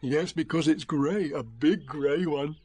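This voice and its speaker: A man's voice: a little high, unhurried, a little mellow, natural voice. A man voicing a kindly, simple-minded male teddy bear who talks hesitantly. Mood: gentle. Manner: hesitant and muddled.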